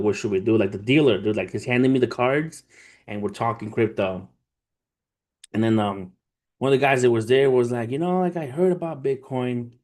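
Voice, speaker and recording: Male livestream host, thirties, lowish voice, loose slangy delivery, profane, casual storyteller speaking straight to his followers. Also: a little mellow. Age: 30s. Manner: informal, street slang, profane, addresses viewers as family. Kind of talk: casual livestream chat, storytelling and motivational talk.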